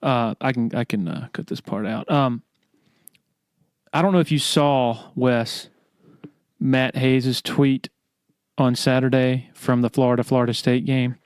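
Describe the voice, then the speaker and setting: Man, podcast interviewer, casual sports questions, clean resonant voice, medium pitch, dry close sound. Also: steady tone, measured pace, long pauses, smooth, no room sound.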